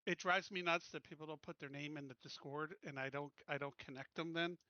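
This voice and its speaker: Bright-voiced man organizing a game, close and dry. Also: a little high, fairly even intonation, a little fast, dry recording, dull audio. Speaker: player assigning crew stations. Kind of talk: casual tabletop game session over voice chat. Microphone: close computer mic, online voice call.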